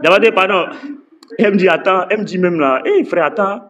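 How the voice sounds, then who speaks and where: A man's voice: high voice, normal speed, fairly bright tone, muffled recording, slight background noise. A man hosting a heated live-stream debate, interrupting and stammering repeated words as he moderates.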